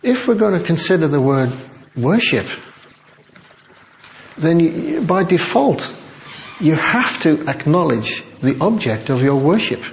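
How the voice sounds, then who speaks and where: An older man with a quiet, soft voice, preaching to a church congregation in a relaxed, conversational way. He jokes at his own expense and talks like he is chatting with friends. A faint hiss sits under the recording. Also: on the high side. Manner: self-deprecating, chatty, quick to laugh. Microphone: small sensitive microphone.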